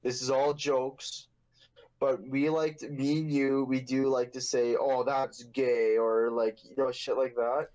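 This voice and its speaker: A man's voice: mid-range voice, flat delivery, unhurried, darkish tone, poor audio. A young man hosting a casual podcast, telling a story in a rambling, profane, joking way. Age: young adult. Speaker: podcast host.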